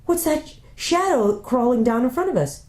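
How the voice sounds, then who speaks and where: Excitable storybook boy, quick to sulk, read by a woman. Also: fairly high pitch, pitched above the performer's own voice, close to an everyday voice. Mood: excited. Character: excitable boy, sulky then eager.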